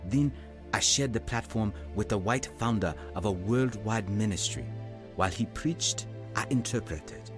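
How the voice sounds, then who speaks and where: An actor playing an earnest, self-doubting African evangelist nearing forty, telling his testimony in an audio drama in a measured, confessional way. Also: fairly low pitch, over music, close to an everyday voice. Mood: reflective. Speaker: narrator and lead character in an audio drama.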